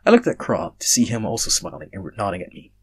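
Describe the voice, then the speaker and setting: A man's voice: on the low side, huge pitch swings, quick pace, slightly rough. A man reading stories aloud as an amateur host, informal, sometimes stumbling over his words. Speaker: fan fiction reader, channel host. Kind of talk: amateur story narration and casual sign-off.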